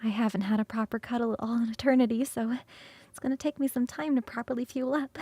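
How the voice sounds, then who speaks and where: Woman acting as a high-voiced, cuddly succubus roommate. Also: fairly even intonation, no echo, lightly acted. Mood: soft, intimate. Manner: coaxing, sighing, drawn-out savouring, shifting into soft whispers. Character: playful, hungry, cuddly young succubus roommate, affectionate and teasing. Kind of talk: ASMR roleplay.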